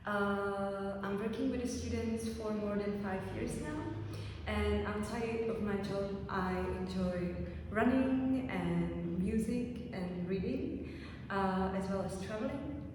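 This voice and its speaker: A woman's voice: mid-range voice, slow pace, background noise. A woman, a director of international programs, introduces herself in a friendly, hesitant way. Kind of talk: self-introduction video. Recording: very echoey room, voice from far off.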